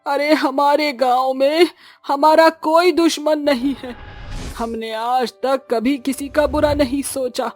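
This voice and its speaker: A poor, anxious village mother-in-law from a cartoon moral tale, played by a woman. Her high female voice laments plaintively and very slowly, with music behind it. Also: steady tone. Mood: indignant hurt. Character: distraught, fearful village mother-in-law, poor banana farmer.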